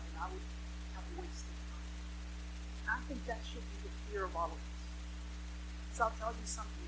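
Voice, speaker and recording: A woman's voice: medium pitch, noisy background. A woman in her mid-thirties preaching a sermon to a congregation, with emphatic repeated phrases and rhetorical questions.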